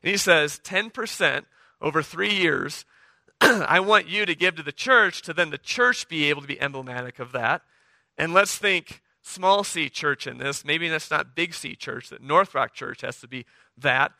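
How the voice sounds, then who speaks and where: A middle-aged man preaching a sermon, hoarse from the flu, talking conversationally and often checking in with the congregation. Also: a little high, bright, forceful tone, dry recording. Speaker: pastor.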